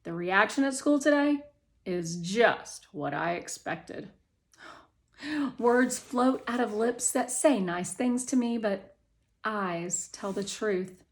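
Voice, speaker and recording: A woman reading a children's novel aloud with expressive, deliberate storytelling phrasing. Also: medium pitch. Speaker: audiobook reader.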